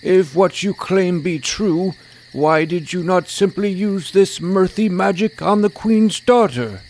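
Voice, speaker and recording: Would-be druid hero, played by a man, a touch high, naive, easily indignant, pompous one moment and plain the next. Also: takes it slowly, darkish tone, slight background noise. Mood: pompous, doubtful. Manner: mock-archaic pomposity slipping into plain petulant talk. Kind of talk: first-person fantasy audio drama.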